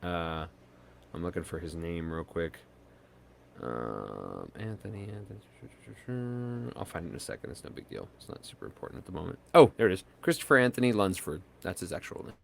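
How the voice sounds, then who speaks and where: Rambling, low-voiced male podcast host. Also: very animated, normal speed, gravelly, a little hiss or hum. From United States. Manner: rambling, hesitant, lots of fillers and restarts. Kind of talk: casual chat.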